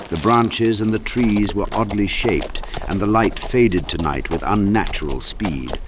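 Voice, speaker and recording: A man giving a dramatic scripted narration of a dark fantasy audiobook. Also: low voice, background noise.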